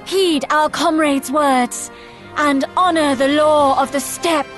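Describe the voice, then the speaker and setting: A solemn steppe girl, really high voice, slow and formal, bit of music behind, game. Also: steady tone, fairly bright tone. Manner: solemn, measured. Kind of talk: formal fantasy game dialogue.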